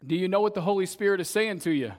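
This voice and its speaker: Slightly raspy young man preaching. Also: sits high, very fast. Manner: conversational, tags sentences with "right?". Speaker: youth pastor preaching. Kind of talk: casual sermon. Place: church service, in front of a congregation.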